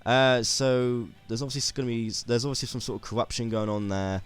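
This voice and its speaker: A man's voice: mid-range voice, fast, clean tone. A man with a sore throat, rambling through a casual gaming commentary with many restarts and fillers.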